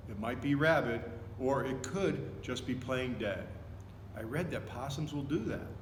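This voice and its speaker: A man's voice: mid-range voice, measured pace, close to an everyday voice. A man voicing a cautious, sensible young girl in a children's storybook. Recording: echoey, reverberant room with noticeable noise.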